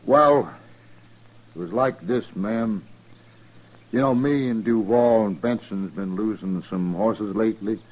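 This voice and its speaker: An actor playing an evasive, callous American rancher in a 1950s radio western, with folksy, halting, understated talk. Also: male voice, fairly low pitch, muffled recording. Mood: sheepish, explaining. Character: evasive, callous male rancher confessing to a lynching.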